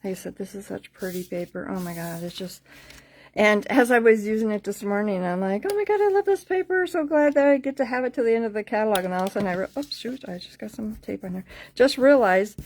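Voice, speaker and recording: A woman's voice: mid-range voice, animated, quick pace, darkish tone. Chatty woman hosting a craft livestream. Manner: chatty, rambling, informal, repeating herself. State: losing her voice slightly. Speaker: host of a card-making craft class livestream. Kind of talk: casual live tutorial chat with sales pitch.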